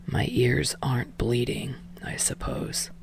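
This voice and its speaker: A woman voicing a blunt, unimpressed male film director in an audiobook, quiet, breathy and flat. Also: rumbling low, slow, whispered, pitched below the performer's own voice, heavily acted. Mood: dry, unimpressed. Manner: dry, flat, deadpan.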